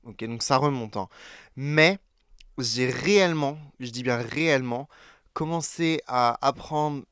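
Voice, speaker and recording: A man's voice: mid-range voice, unhurried, fairly bright tone, clear, resonant tone, no room sound. Chatty French teen guy, dry sound. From France. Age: teens. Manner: chatty, many fillers and self-corrections. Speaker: YouTuber. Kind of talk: casual talk to camera.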